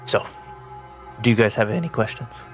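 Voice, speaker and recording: A man narrating a tabletop roleplaying game as game master, speaking haltingly and deliberately. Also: lowish voice, lively intonation, muffled recording, soft background music. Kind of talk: narrating a tabletop roleplaying game, partly in character as a briefing handler.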